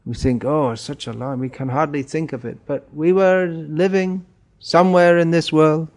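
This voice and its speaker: A middle-aged man giving an informal spiritual talk in a gentle way, chuckling and putting questions to his listeners. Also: a little high, steady tone, normal speed. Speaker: spiritual teacher.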